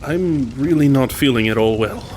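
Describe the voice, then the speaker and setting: Male actor as courteous, uneasy houseguest: mid-pitched, subdued, weary, audio drama with faint rain. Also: moderate pace, a little mellow, a little hiss or hum, natural voice. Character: polite, uneasy guest, a weary hunter.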